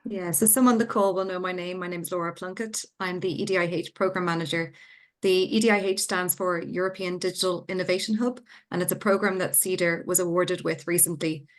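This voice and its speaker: A woman's voice: lowish voice, steady tone, brisk. A woman co-hosting a webinar, introducing herself and her program, mostly fluent with a few restarts. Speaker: co-host, program manager.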